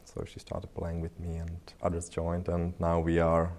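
Man, 30s, deep warm voice, relaxed rambling interview guest. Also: normal speed. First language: Czech. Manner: loose and chatty, many fillers and restarts. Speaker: interview guest, pianist and researcher. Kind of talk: casual interview talk.

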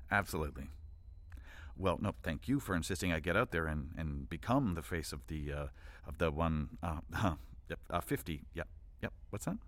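A nervously chipper event organizer, stammers, way too polite, voice jumps all over, audio drama comedy. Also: male voice, rumbling low, expressive, normal speed, fairly bright tone, no echo. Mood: nervously agreeable. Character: flustered, over-polite male event organizer hiding exasperation. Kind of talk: satirical audio drama acting.

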